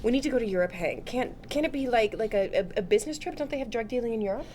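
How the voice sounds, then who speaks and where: A woman playing a chatty, excitable, anxious wife in a table read of a TV drama, gushing and rambling with emphasis. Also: on the high side, quick pace, lightly acted. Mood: gushing, envious. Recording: room noise.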